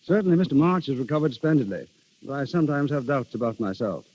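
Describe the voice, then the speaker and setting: A man speaking as a guest writer in a scripted 1930s radio interview, formal and measured. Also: mid-range voice, lively intonation, a little mellow. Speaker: guest playwright. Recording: muffled 1930s radio broadcast.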